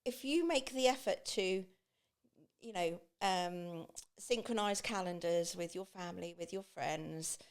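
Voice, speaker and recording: A woman's voice: on the low side, a little slow. A middle-aged woman with an East Anglian English accent, chatting and telling personal stories, acting out remembered remarks as she goes. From Great Yarmouth, England. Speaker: podcast guest, beach hut business owner.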